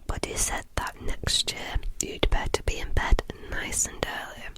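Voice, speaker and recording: A woman's voice: unhurried, lightly acted. A woman voicing a patient, sleepy father in a whispered bedtime story. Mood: gentle.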